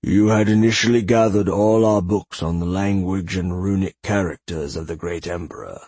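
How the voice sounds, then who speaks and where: A man's voice: low-pitched, steady tone, normal speed, darkish tone, no room sound. A man voicing an elderly, formal librarian in an audiobook, curt, dutiful and dryly smug. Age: older. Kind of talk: audiobook character voice.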